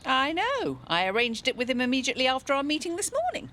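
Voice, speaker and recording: A woman's voice: high voice, wildly swooping pitch, quick pace, pushed and bright, slight background noise, full character voice. An actress playing a prickly, competitive British committee woman in a radio soap. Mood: peeved, self-important.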